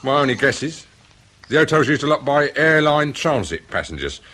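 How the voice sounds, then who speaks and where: A man playing a down-to-earth intelligence subordinate in a British radio drama, with a husky voice and slangy delivery. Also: mid-range voice, big pitch swings, normal speed, sharp tone. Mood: matter-of-fact. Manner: slangy, matter-of-fact. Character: chatty, down-to-earth intelligence subordinate giving briefing.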